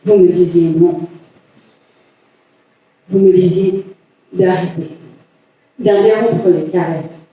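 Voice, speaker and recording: A man performing a staged monologue as a lovelorn woman haunted by obsessive passion, halting and deliberate with long pauses. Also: sits high, steady tone, warm tone. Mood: haunted, confessional. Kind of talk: staged dramatic monologue reading. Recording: echoey, distant stage recording.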